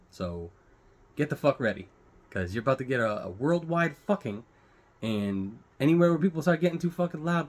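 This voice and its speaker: American guy ranting off the cuff, lots of swearing, blunt and emphatic, mid pitched voice, asks rhetorical questions. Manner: heavily profane, blunt, emphatic. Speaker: vlogger giving commentary. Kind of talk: unscripted opinion rant to camera.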